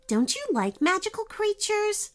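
A plucky young storybook girl, voiced by a woman. She is high and bright-spirited in pitch, frank and polite, with lively emphatic swings as she speaks. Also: a little slow, faint music behind, heavily acted. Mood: polite, challenging.

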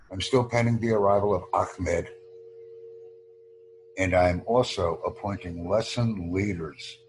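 A man teaching an online English lesson, speaking English natively in slow, formal, measured short phrases. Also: low voice, a little mellow, slight background noise, poor audio. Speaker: English tutor.

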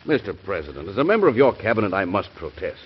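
An actor playing a blunt, anxious presidential cabinet adviser with an urgent, insistent way of speaking in a 1940s radio drama. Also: male voice, fairly low pitch, very animated, fast, slightly rough. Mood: urgent protest. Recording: muffled vintage radio recording.

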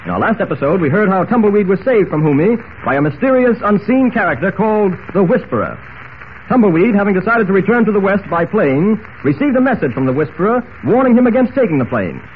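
An actor playing an emphatic, dramatic announcer of a superhero serial in 1940s radio style. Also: male voice, high voice, big pitch swings, fast, phone-quality sound. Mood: dramatic, urgent. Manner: dramatic, emphatic recap delivery. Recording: noisy, thin old radio recording.

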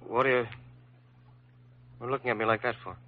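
A man's voice: medium pitch, level tone, fairly quick, no echo, natural voice. An actor playing an earnest, self-doubting young male doctor in a vintage radio drama, speaking in a clipped way. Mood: insistent. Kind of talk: 1940s radio acting. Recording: dull vintage radio recording.

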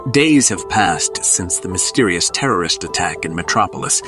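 A man narrates an audio drama in a fairly low voice. His reading is scripted and dramatic, with music clearly playing behind it. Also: animated, moderate pace.

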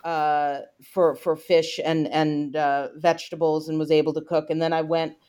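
A woman's voice: low-pitched, flat delivery, moderate pace, warm tone. A woman interviewing an author, asking curious questions in a chatty way that is full of "you know" fillers. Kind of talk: interview conversation.

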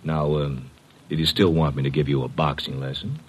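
A man's voice: extremely low pitch, steady tone, brisk, husky. A man playing a surly, jealous businessman husband, terse and cool, in 1950s radio drama style. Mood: coolly conciliatory.

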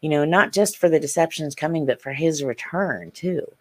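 A woman's voice: deep voice, moderate pace, darkish tone. A woman guest on a podcast who speaks earnestly and insistently in casual conversation.

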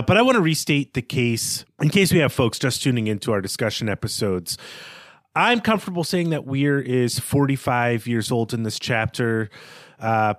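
A man's voice: medium pitch, no room sound, clean studio sound. A man co-hosting a podcast, talking through a book in a conversational, analytical way. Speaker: podcast co-host. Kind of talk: casual literary discussion.

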